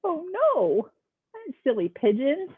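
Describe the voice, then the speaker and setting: Woman playing a high, whiny cartoon pigeon, dramatic begging, tinny audio. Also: huge pitch swings, slight background noise, exaggerated voice. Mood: pleading, whiny. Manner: dramatic, pleading, drawn-out whines. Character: whiny, excitable male pigeon who keeps asking. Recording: tinny phone-like sound.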